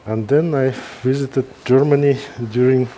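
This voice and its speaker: A middle-aged man with a Kazakh accent gives informal live commentary as a surgeon, halting and pausing mid-sentence. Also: medium pitch, fairly even intonation, mellow, slight background noise. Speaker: neurosurgeon presenting a live case.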